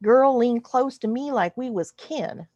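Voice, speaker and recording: A woman's voice: fairly high pitch, a little slow, darkish tone, no room sound, dull audio, pitched above the performer's own voice. A woman voicing a wry, folksy alien drifter who narrates a space western story in an exaggerated Texas drawl. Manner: folksy, dry, colloquial. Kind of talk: first-person science fiction story narration.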